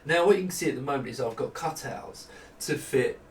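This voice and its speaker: A man in his fifties giving a casual, unscripted product walkthrough, explaining haltingly as he thinks aloud. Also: medium pitch, animated, creaky. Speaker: maker presenting a product prototype. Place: small home office room. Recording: roomy, distant mic, faint noise.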